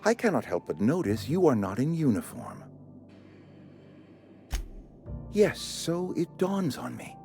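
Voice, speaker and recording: A pompous administrator, swooping pitch. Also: male voice, big pitch swings, moderate pace, creaky, darkish tone, faint music behind. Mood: apologetic, officious. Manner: formal, wordy, officious. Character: pompous, courteous male company outpost administrator. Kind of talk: video game character acting.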